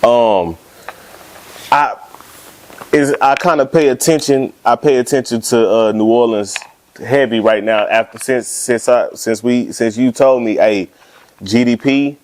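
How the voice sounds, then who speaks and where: A man's voice: medium pitch, a little slow, a little mellow. A man hosting a casual interview, talking in an easygoing way with frequent restarts. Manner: easygoing, stammering restarts, "you know what I'm saying". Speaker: podcast host.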